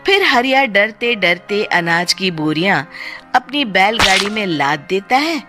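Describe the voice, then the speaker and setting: A woman's voice: medium pitch, sing-song, a little slow, over music. A woman narrating a scripted horror folk tale as a storyteller.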